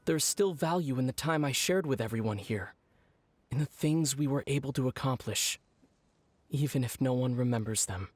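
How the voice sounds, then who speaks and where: A man voicing a calm, reflective young traveler hero in a video game. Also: mid-range voice, moderate pace, no echo, natural voice.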